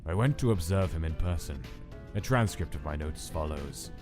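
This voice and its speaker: A man doing a cold, deep-voiced scientist. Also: expressive, over music. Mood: detached. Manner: detached, measured dictation. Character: cold clinical male scientist recording experiment logs. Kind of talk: fan comic dub narration.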